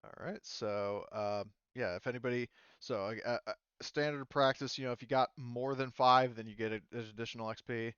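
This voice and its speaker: A man's voice: mid-range voice, steady tone, moderate pace, hardly any pauses, a bit bright, clean tone, no room sound, dull audio. A man chatting casually as the game master of a tabletop roleplaying session.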